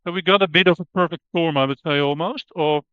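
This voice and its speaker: A man with a Dutch accent, speaking as an energy strategist guest and explaining things in a thoughtful way, with many ums and restarts, often trailing off quietly at the end of a phrase. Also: a little high, fairly even intonation, fast talker, clean tone, dry recording, narrow, tinny audio. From Netherlands. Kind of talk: conversational expert explanation.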